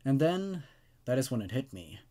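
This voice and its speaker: Expressive man reading and reviewing horror stories. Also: mid-range voice, moderate pace. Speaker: host narrating horror stories. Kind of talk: horror story reading with casual commentary.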